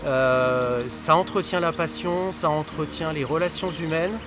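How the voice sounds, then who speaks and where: A man's voice: on the high side, unhurried, music in the background. A man, giving an informal testimonial as a volunteer event organiser, hesitantly searching for his words. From Paris, France. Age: 60s.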